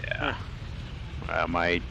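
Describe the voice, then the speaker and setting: A man from Wisconsin with a very low voice tells a relaxed story to friends. He laughs often and talks in an easy, unhurried way. Clear background noise sits under his voice. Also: highs cut off. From Wisconsin, USA. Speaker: flight sim pilot in group stream. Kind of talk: casual chat.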